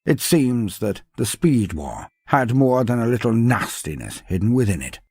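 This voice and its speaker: A man's voice: mid-range voice, big pitch swings, moderate pace, a little mellow, breathy edge, no room sound. A man narrating a scripted fantasy story in a theatrical, florid and whimsical way. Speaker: narrator of fantasy lore stories.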